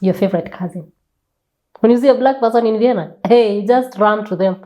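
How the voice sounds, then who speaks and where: Mellow Kenyan woman telling stories emphatically. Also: mid-range voice, fairly quick. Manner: emphatic, repeats phrases, asks rhetorical questions. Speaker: guest author. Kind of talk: animated conversational storytelling.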